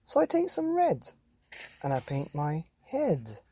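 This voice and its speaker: A man acts out a mischievous child from a picture book, chanting in playful rhyme. The delivery is sing-song and exaggerated, with drawn-out words and very lively pitch, recorded dry. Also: slightly rough, no echo, heavily acted. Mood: mischievous, gleeful. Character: mischievous child gleefully painting own body, chanting rhymes.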